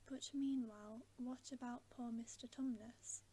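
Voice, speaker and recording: A curious, uneasy young girl from a bedtime storybook , voiced by a woman. She speaks in a tentative hush, rather high and gentle in tone, at a slow pace. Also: steady tone, slight background noise, close to an everyday voice, low-quality recording. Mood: worried. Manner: tentative, questioning, hushed. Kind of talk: whispered storybook character reading.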